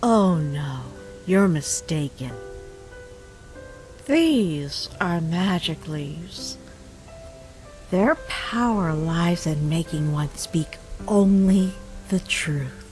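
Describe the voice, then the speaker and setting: A woman voicing a wise, nurturing mother deer in an animated comic dub, gentle and unhurried with a teasing side. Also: lowish voice, soft background music. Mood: sly, playful.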